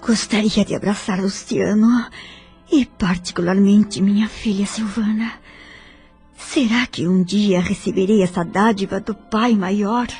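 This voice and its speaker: An actress with a Brazilian Portuguese accent playing a gentle woman spirit who longs for her loved ones in an audio drama. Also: mid-range voice, fairly even intonation, a little slow, soft background music, close to an everyday voice. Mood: wistful.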